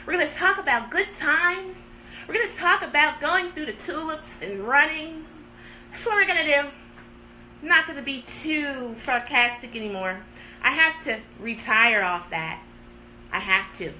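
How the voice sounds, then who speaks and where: High-voiced woman joking on a livestream. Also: normal speed, sharp tone, slight background noise, low-quality recording. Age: 30s. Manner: playful, sarcastic, theatrical complaining. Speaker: livestream host. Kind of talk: casual live-stream chat with viewers.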